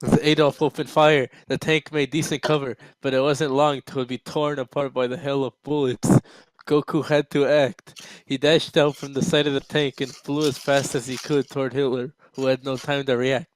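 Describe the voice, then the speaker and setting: A man's voice: mid-range voice, level tone, moderate pace, hardly any pauses, dry recording, low-quality recording. A man reads a story aloud in a casual, amateur way. He stumbles a little and sounds amused, and the recording is dry with very little room sound. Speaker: amateur reader.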